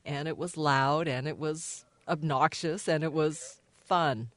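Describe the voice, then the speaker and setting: A man's voice: fairly high pitch, steady tone, slow, a bit bright. A man who worked in a newsroom, reminiscing conversationally in an interview. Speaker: former newsroom staffer being interviewed.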